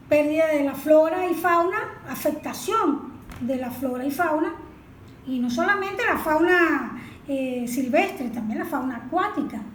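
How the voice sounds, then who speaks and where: A woman explaining as an expert in an interview, didactic, asking questions and answering them herself. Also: sits high. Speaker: environmental expert being interviewed. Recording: echoey room with faint background noise.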